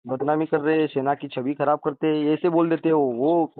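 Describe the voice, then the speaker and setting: A man's voice: a little high, steady tone, moderate pace. A man doing a phone interview, prompting the other person with questions in a sympathetic manner. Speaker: interviewer, activist. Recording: roomy, tinny phone-line audio.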